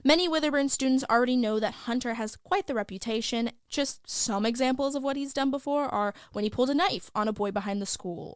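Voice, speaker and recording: A woman's voice: a little high, dry recording, studio quality, lightly acted. A woman playing a gossipy, opinionated young school news reporter, chatty and sardonic, delivering scripted podcast news. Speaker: host of a school news show.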